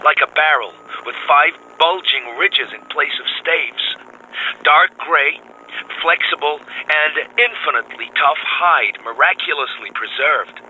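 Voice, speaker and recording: An excited expedition scientist in a radio drama, sending bulletins by wireless. His voice is fairly high and extremely bright, with clipped, precise, urgent phrasing. Faint noise colours the transmission. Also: a little slow, poor audio. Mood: awed, excited. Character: excited, driven male field scientist dictating wireless bulletins.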